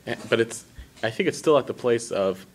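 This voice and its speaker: A man lecturing at a learning session, answering questions in a halting, thoughtful way and rephrasing as he goes. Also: medium pitch, animated. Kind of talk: answering audience questions at a seminar.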